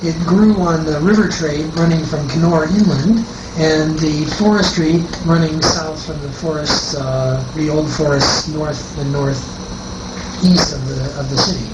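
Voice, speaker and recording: A man talks through his game world in a halting, explanatory way during a group discussion. He sounds set back from the microphone in a roomy space, with heavy noise all over the old cassette. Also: on the high side, fairly even intonation. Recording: very noisy distant roomy cassette tape.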